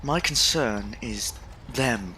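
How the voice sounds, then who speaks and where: A man playing an earnest, headstrong young gentleman in a period audio drama, formal and protective in manner. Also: medium pitch, lively intonation, slow pace, slight background noise. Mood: earnest, concerned.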